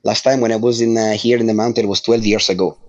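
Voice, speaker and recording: A middle-aged man from Italy talks in accented English in a fairly low voice. He pauses often with fillers and sometimes laughs into his words. He sounds like he is on a video call. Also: little pitch movement, highs cut off. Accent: Italian. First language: Italian. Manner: hesitant, many uh fillers, laughs while talking. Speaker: guest.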